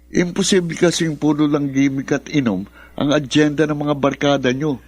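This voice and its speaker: A grieving, resentful young son in a radio drama, acted by a man. His voice sits a little high for a male. He speaks with biting sarcasm and accusation. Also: fairly even intonation, moderate pace, no echo, soft background music, natural voice. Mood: accusing. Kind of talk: Filipino radio drama acting.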